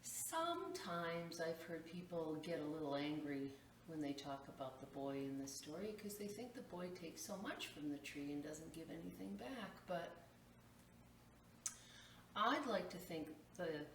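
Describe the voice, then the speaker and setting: A woman's voice: sits low, animated, unhurried. A woman hosting storytime, reading a picture book to children and explaining it in simple phrases. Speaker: library storytime host. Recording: echoey room, distant mic, faint noise.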